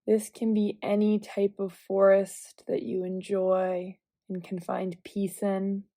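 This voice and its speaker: Female meditation guide: unhurried, soft-edged mellow tone, gentle cues, very dry close sound. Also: mid-range voice, no echo. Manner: calm, gentle, measured cueing with long pauses. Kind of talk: guided visualization meditation, instructional.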